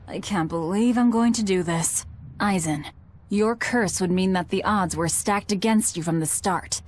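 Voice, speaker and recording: A woman's voice: mid-range voice, a little slow, a little mellow, slight background noise, lightly acted. A woman playing a brusque, guarded, sardonic young female fighter in a video game. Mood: dry, impatient.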